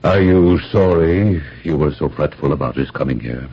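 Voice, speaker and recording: An actor playing a stately, dismissive master of the house in a radio drama, with a gravelly, husky bass voice. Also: male voice, moderate pace, exaggerated voice. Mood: genial, settled. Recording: dull, lo-fi vintage radio recording.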